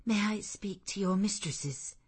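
A woman's voice: medium pitch, a little slow, a little mellow, husky. A woman voicing a desperate young woman wanderer who pleads for shelter. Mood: pleading.

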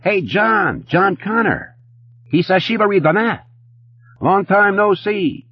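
A man's voice: high-pitched, expressive, slow pace, breathy edge, no room sound, highs cut off, pitched above the performer's own voice, heavily acted. A man voicing a manic, jittery Japanese hustler who talks in chatty, clipped broken English. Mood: manic, jovial.

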